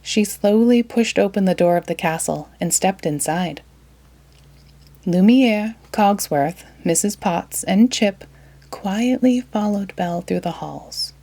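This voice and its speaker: A woman storyteller reading a children's storybook aloud in an expressive manner. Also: mid-range voice, slow pace, gravelly.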